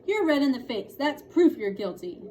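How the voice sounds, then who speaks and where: A fretful, quarrelsome girl from a storybook, acted out by a woman reading aloud. She whines and accuses, pitched up high, over clear background noise. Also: sing-song, moderate pace, darkish tone. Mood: triumphant. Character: fretful, accusing girl missing her shorts, whiny and quarrelsome. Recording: clearly noisy room recording.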